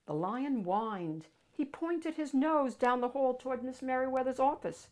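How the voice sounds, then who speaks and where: A woman narrating a children's picture book aloud with dramatic, expressive storytelling. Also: high voice, a little slow.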